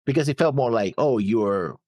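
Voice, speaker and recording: A man co-hosting a reaction show, chatting and reading comments casually, hesitant and halting, often repeating his words. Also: mid-range voice, lively intonation, darkish tone, no room sound, studio quality. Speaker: co-host of anime reaction show.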